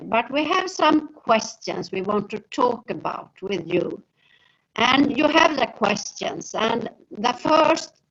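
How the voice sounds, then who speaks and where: Slow-spoken Swedish woman moderator. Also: on the high side, a bit bright. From Sweden. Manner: hesitant, many filler sounds. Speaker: seminar moderator. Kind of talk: moderating an online seminar. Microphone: video call. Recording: dull lo-fi video call audio.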